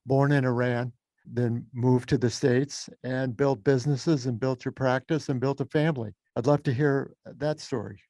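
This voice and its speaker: A man hosting a casual interview, agreeable and quick to affirm what his guest says. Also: medium pitch, steady tone, dark tone, no echo. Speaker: interview host.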